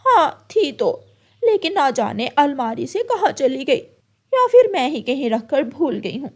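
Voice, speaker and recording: A woman voicing a worried, gentle mother crow in a cartoon story, talking anxiously and pleadingly. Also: sits high, sing-song, measured pace, pitched above the performer's own voice, exaggerated voice. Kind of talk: Hindi cartoon story voice acting.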